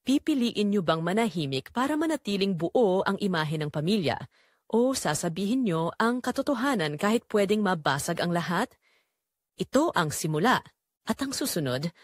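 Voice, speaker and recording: A woman performs a guilt-ridden Filipino mother telling her story in the first person for an audio drama. Her delivery is earnest and reflective, with animated, emotional swings in pitch. The sound is close and dry, like a private confession. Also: no echo.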